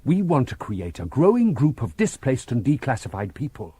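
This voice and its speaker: An actor playing a haughty, visionary offworlder in a radio drama, lecturing in a formal, emphatic manner. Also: male voice, medium pitch, sing-song, moderate pace, dark tone, slightly rough, no room sound. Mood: fervent, persuasive. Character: haughty, visionary male offworlder scolding Earth people.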